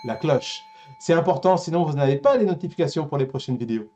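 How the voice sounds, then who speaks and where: A man's voice: on the high side, expressive, quick pace, mellow. A man co-hosting a casual live talk show, joking and teasing with wordplay.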